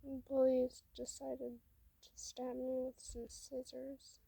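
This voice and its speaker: A woman voicing an affectionate, brave sixteen-year-old human hostage in a read-aloud story, soft and reassuring. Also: a little high, measured pace, dry recording, low-quality recording. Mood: tearful, quiet.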